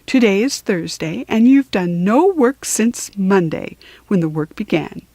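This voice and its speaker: A bossy desert djinn from a children's storybook, acted out by a woman. The pitch leaps about in an animated way, while the words come slowly and emphatically, as if laying down the law. The sound is dry. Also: no echo. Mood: scolding. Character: magical desert djinn, brisk bossy scolding master. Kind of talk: children's storybook character reading.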